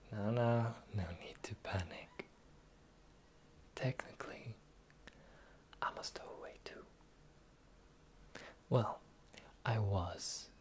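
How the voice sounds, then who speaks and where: A sly pirate captain, acted out for a fantasy ASMR roleplay, speaks in soft, breathy whispers. The delivery is very unhurried and theatrical, with a teasing drawl that keeps the listener close. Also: medium pitch.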